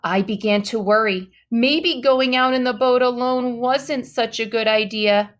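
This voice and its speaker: High-voiced woman reading a storybook slowly. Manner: dramatic, with exclamations and emphatic slow words. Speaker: storybook reader. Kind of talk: expressive children's read-aloud narration.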